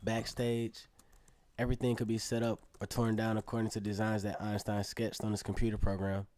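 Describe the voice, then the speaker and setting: A man plays an eager young male student telling his own story in a radio drama. The voice sits low and carries earnest wonder. There is clear background noise on the recording. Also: monotone, brisk, a little mellow, close to an everyday voice. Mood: inspired. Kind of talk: scripted first-person radio drama narration.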